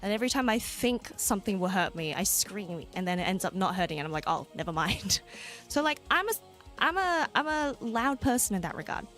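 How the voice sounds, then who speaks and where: A young Australian woman streamer chatting casually with viewers, laughing often and jumping into high squeals. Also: sing-song, brisk. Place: home room. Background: soft background music.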